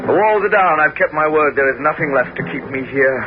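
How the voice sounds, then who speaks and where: An actor playing a bold, commanding Greek hero who also narrates, in a 1970s radio drama. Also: male voice, on the high side, animated, a little fast, over music, natural voice. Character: brave male Greek hero, commanding leader. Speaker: narrator and lead. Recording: dull vintage radio broadcast.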